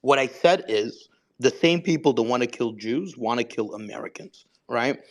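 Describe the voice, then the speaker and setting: A man's voice: medium pitch, lively intonation, normal speed. A man arguing defensively in a heated live online debate, pressing his points by repeating himself. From Israel. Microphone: online voice chat mic.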